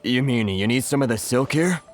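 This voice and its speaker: A man's voice: medium pitch, expressive, normal speed, faint music behind, lightly acted. A man voicing a humble grateful merchant. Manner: earnest, hesitant, thankful. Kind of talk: video game dialogue.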